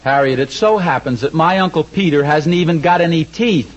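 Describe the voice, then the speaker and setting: Fussy high-pitched radio husband, played by an actor. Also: male voice, a little fast, close to an everyday voice. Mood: fussy, exasperated. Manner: earnest, fussy explaining. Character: fussy, exasperated husband, mildly pompous. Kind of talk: 1940s radio comedy acting. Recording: dull vintage radio recording.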